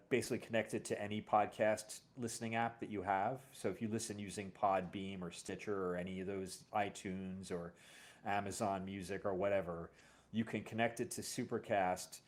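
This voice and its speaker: Guy hosting a chatty podcast, kinda low voice, rambles and corrects himself. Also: steady tone, normal speed. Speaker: podcast host and audio drama series creator. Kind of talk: casual interview chat.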